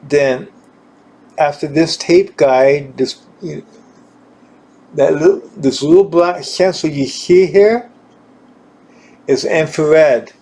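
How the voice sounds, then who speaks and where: Slow, halting man demonstrating gear. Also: on the high side, warm tone. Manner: halting, searching for words, repeating himself. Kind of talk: informal hands-on equipment demonstration.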